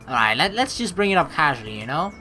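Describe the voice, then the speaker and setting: A woman doing chatty, excitable game commentary, mixing story text read aloud with playful asides. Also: deep voice, fast, soft background music. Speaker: let's play host.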